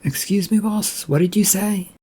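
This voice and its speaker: A man's voice: sits high, moderate pace, mellow, breathy edge, pitched above the performer's own voice. A man voicing an uncertain female ship captain in a story, hesitant and puzzled. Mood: confused.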